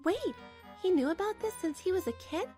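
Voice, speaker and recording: A woman's voice: extremely high pitch, animated, normal speed, dark tone, soft background music. A woman narrating a manga dub as the wronged wife, in a chatty, dramatic inner monologue.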